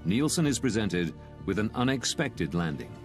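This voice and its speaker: Low-voiced male documentary narrator, music behind. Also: very animated, normal speed. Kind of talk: scripted narration.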